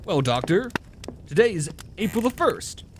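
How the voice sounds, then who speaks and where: A man's voice: high-pitched, sing-song, normal speed, slight background noise. A man playing an eager, courteous announcer and host in the manner of an old-time radio mystery. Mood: courteous interest. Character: eager, courteous male announcer visiting old Doctor Watson.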